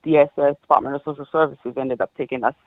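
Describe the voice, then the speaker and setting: Woman, about 40, from Haiti, caller, halting with ums, muffled phone sound, dry. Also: a bass voice, fairly even intonation, no room sound. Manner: halting, many ums and restarts. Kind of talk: personal story on a call-in show. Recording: dull dry phone line.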